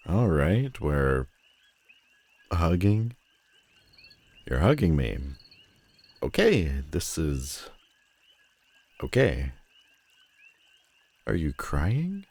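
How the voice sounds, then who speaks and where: A man's voice: extremely low pitch, warm tone, full character voice. A man playing a telepathic supervillain in a roleplay audio drama, commanding and wry yet protective, speaking intimately straight to the listener. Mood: awkwardly comforting.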